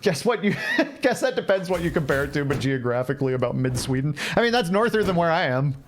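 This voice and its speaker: A man's voice: a little high, sing-song, fast, a little hiss or hum. A man giving casual, playful live commentary on a game, thinking aloud and repeating phrases as he chats with viewers. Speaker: game livestreamer.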